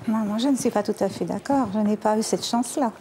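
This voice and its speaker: A woman, a guest on a television talk show, speaking softly and with some hesitation. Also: mid-range voice, quick pace, a little hiss or hum. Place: television studio.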